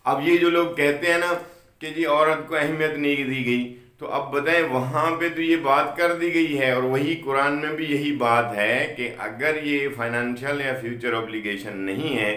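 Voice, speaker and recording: Slow, bright-voiced Pakistani man lecturing. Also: medium pitch. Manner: didactic, repeats and stresses key points. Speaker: law lecturer. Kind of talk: explanatory law lecture mixing in English legal terms. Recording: roomy, echoing sound.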